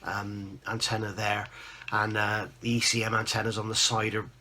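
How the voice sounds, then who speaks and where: A man with a croaky voice, recovering from losing it, chatting informally as the host of a scale modelling vlog. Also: lowish voice, little pitch movement, moderate pace, bright, forceful tone, slight background noise. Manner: chatty, informal, rambling show-and-tell. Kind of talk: casual hobby update to camera.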